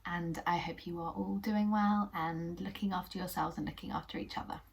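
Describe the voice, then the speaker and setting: A young English woman hosting a children's puppet show, telling a story in a gentle, encouraging way. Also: lowish voice, fairly even intonation, brisk, creaky. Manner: gentle, encouraging, sometimes whispery narration.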